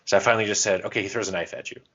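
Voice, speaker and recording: Low, bright-voiced man chatting casually. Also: fairly even intonation, rapid-fire, lo-fi sound. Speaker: podcast co-host. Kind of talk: casual chat about tabletop roleplaying.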